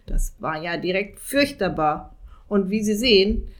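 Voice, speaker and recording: A woman voicing a gloomy, complaining male raven with a croaking, rasping voice in a storybook reading. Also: medium pitch, animated, unhurried, natural voice. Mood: flustered. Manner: whining, long-winded complaining.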